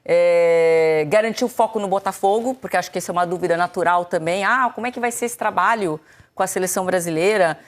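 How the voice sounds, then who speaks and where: A woman's voice: fairly low pitch, quick pace. A woman, presenting and summarizing football news in a studio discussion. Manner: explains at length, summarizing and paraphrasing. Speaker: sports TV presenter.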